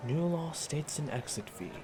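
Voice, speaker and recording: A man's voice: a little high, big pitch swings, moderate pace. A man playing a shifty, cowardly city gate guard in a fantasy audio roleplay, hesitant and weaselly. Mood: officious, shifty. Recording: clearly noisy, ambient background.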